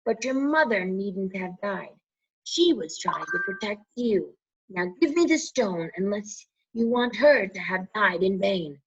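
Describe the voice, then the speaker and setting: A woman voicing a cruel, menacing male dark wizard who sneers and threatens in a strained, raised voice, read as a storybook. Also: medium pitch, expressive, a little slow, slight background noise, pitched above the performer's own voice. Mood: taunting. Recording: muffled lo-fi recording.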